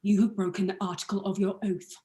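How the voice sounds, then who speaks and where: A woman playing a proud, guarded male ruler in a radio play, speaking formal, measured verse. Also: mid-range voice, little pitch movement, mellow, echoey room, lightly acted. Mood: stern, accusing. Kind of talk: live radio play acting in Shakespearean verse.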